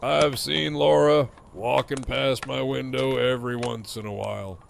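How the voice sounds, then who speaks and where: An actor playing a mocking, foul-mouthed middle-aged antagonist with a sardonic, needling drawl in an audio drama. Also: male voice, mid-range voice, steady tone, measured pace, vocal fry, slight background noise. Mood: taunting, amused.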